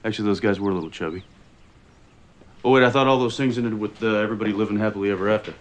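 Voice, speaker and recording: An actor playing a flippant, skeptical investigator brother in a TV drama. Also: male voice, on the low side, rapid-fire, natural voice. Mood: wry, skeptical. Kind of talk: TV drama acting.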